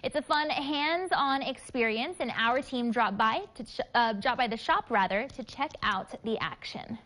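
Woman TV host, scripted intro and outro, bright polished read, slightly high voice. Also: moderate pace. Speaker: TV show host. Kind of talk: scripted broadcast presenting.

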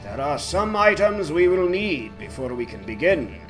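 A man voicing an old dwarf lord who speaks in a measured, formal, emphatic way in a dramatized fantasy game. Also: a little high, lively intonation, over music, lightly acted. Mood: commanding, deliberate. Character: old dwarf lord, commanding, shrewd, faintly scornful.

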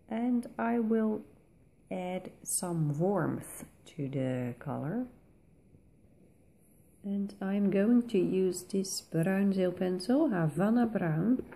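Slow, gravelly-voiced Dutch woman. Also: fairly low pitch, warm tone. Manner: slow, thoughtful, with many pauses and fillers. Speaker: art tutorial host. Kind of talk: relaxed voice-over while colouring.

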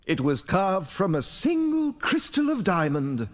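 A man's voice: high voice, wildly swooping pitch, measured pace, exaggerated voice. A man voicing a fussy, melodramatic, proud glassmaker in a video game, theatrical and effusive, repeating phrases for emphasis. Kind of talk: video game character dialogue. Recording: music behind the voice.

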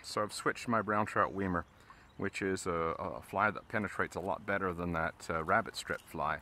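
A man's voice: low voice, normal speed, slight background noise. A man out on a river, casually explaining fly casting step by step while he fishes from a boat. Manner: relaxed, explains steps as he casts. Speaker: fly fishing instructor. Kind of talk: casual instruction while fishing.

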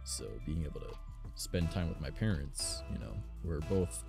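A young man from Canada with a raspy voice, chatting casually and rambling as a streamer, filling his talk with "like" and "um". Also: extremely low pitch, moderate pace, music in the background. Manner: rambling, frequent "like" and "um". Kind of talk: casual chat while gaming. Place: home recording studio.

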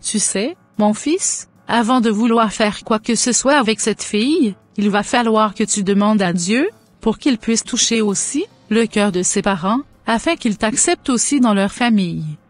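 A woman voicing a devout, cautious mother who advises her grown son deliberately in a scripted cartoon. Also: medium pitch, lightly acted. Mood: devout, advising.

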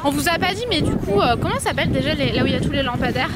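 A woman's voice: sits high, lively intonation, very fast. A young woman chatting casually and enthusiastically in slangy speech, vlogging on a handheld camera outside. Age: young adult. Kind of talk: casual vlog chat. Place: outdoors on a windy seaside promenade. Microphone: handheld camera at arm's length. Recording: loud outdoor wind and street noise.

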